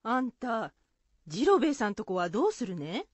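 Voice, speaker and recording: Obliging, flustered village wife, highish voice, homely rural talk, dry radio drama recording. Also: female voice, big pitch swings, moderate pace, breathy edge, no echo. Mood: matter-of-fact.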